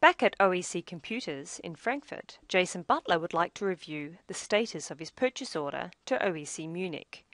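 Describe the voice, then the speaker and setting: A woman narrating a scripted software tutorial as demo presenter, in a measured, explanatory way. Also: on the low side, lively intonation, dry recording, clean studio sound.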